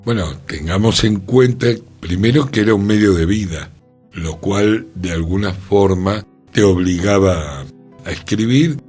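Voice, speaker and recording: Slow, low-voiced radio co-host. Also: male voice, darkish tone, breathy edge, soft background music. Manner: halting, fragmented phrasing. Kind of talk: radio talk about theater history.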